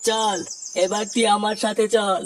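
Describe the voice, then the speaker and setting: Guy doing sly cartoon baba, pompous blessings, high flat voice. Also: a little slow, slight background noise. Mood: solemn, reassuring. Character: sly fake holy man, bestowing blessings. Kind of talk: comedy cartoon dubbing.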